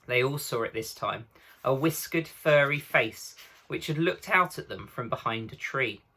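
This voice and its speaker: Male teacher narrator for children's fiction, bright, forceful tone, mid-pitched. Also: lively intonation, unhurried, breathy edge, poor audio. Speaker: teacher reading aloud.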